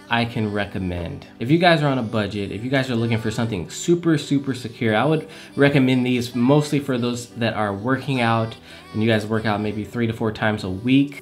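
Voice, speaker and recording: A young man giving a casual product review to camera in a small room at home, relaxed and chatty, repeating words for emphasis. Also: medium pitch. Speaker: tech reviewer.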